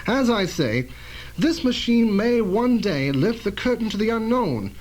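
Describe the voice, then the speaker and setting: Radio drama inventor, male actor: proud, cautious scientist, high-pitched and lively, emphatic explanations. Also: measured pace, heavily acted, rough recording. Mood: cautious, earnest.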